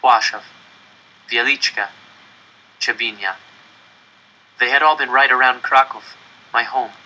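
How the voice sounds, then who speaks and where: Bright, forceful male storyteller. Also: medium pitch, steady tone, a little slow, poor audio. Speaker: audiobook-style reader. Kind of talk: dramatic scripted narration of a first-person novel.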